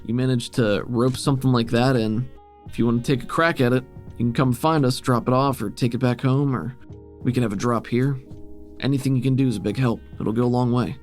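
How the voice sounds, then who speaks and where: A man's voice: medium pitch, steady tone, brisk, a little mellow, soft background music, lightly acted. A man voicing an earnest local man in a tabletop game, hesitant and restrained as he pointedly asks for help. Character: male local fuel gatherer, earnest, gently pressing for help.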